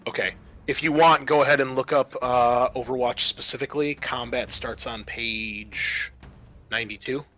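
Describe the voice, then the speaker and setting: A man's voice: mid-range voice, fairly even intonation, unhurried, pushed and bright. A man acting as game master, narrating a tabletop game and explaining things conversationally.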